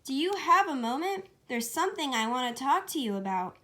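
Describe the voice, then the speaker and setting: A woman puts on a cute young girl hedgehog with a high, girlish voice. She is shy, eager and easily flustered, speaking with excitable energy as in a homemade storybook reading. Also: brisk, heavily acted. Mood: nervous, hopeful. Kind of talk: amateur storybook read-aloud.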